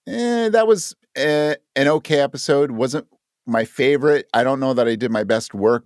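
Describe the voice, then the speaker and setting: A man's voice: mid-range voice, no room sound, studio quality. A man speaking as a writer guest in a casual interview, candid and thinking aloud, often acting out his own thoughts as quotes. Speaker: guest audio drama writer.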